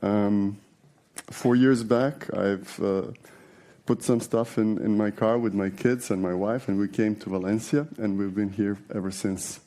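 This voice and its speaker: A man speaks from a conference stage in a low, mellow voice. He talks in an easygoing, conversational way, pausing on fillers and restarting phrases as he goes. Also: steady tone. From Serbia. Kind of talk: conference keynote talk. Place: large conference hall.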